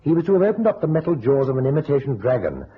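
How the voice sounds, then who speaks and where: Husky, insistent agency boss. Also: male voice, medium pitch, sing-song, rapid-fire. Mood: concerned, insistent. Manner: direct, polite but insistent. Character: concerned, insistent businesslike agency boss man. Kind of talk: golden-age radio detective acting. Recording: muffled, rough old radio recording.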